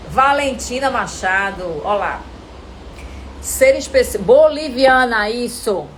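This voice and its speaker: A woman with a Brazilian Portuguese accent coaching actors in an informal live lesson, animated and didactic, often asking questions and answering them herself. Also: on the high side, a little hiss or hum, poor audio. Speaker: acting coach teaching a live online class.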